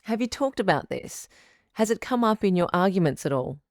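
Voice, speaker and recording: A woman playing a calm, supportive radio advice doctor in an audio drama, speaking in a measured, articulate, professional counselling tone. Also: mid-range voice, dry recording, studio quality, lightly acted. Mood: measured, advising. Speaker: radio advice show host, sex therapist.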